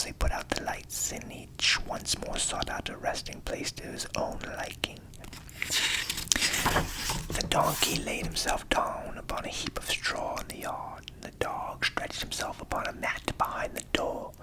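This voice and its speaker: A man whispering a fairy tale softly and soothingly as an ASMR storyteller, with a breathy delivery and measured pauses. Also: poor audio.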